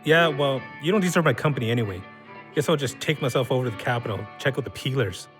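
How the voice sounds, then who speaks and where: Male actor as sarcastic, animated barfly. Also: medium pitch, quick pace, soft background music, lightly acted. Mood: dismissive. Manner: sarcastic, blunt, gruffly dismissive. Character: Dave, cynical, foul-mouthed hard-drinking man, mocking and defensive. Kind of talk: naturalistic audio drama acting.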